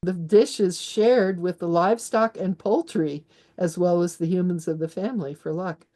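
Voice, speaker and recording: A woman's voice: on the low side, moderate pace, mellow. A woman born in Austria, an author guest chatting in an interview, talking freely with restarts and little laughs. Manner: chatty, many restarts, laughs while explaining. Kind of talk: conversational interview.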